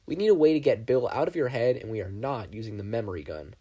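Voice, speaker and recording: A man voicing a serious, scholarly older man in a read-aloud story, firm and measured. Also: mid-range voice, mellow, husky, close to an everyday voice.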